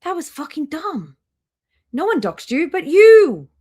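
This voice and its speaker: A woman's voice: very high-pitched, sing-song, measured pace, a little mellow. A woman hosting a live stream with casual, blunt, critical reaction commentary and some swearing.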